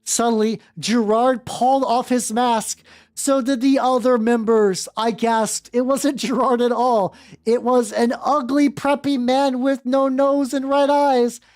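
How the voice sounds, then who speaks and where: A woman's voice: a little high, fairly even intonation, measured pace, a little mellow. A woman livestreamer reading fanfiction aloud and breaking into informal, sweary, rambling asides. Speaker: livestream host, VTuber. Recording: music playing behind the voice.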